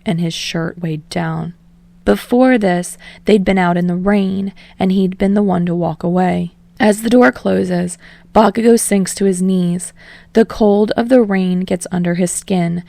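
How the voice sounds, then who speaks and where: A woman's voice: lowish voice, vocal fry, dark tone, no echo. A woman reading scripted fiction narration as an audiobook narrator.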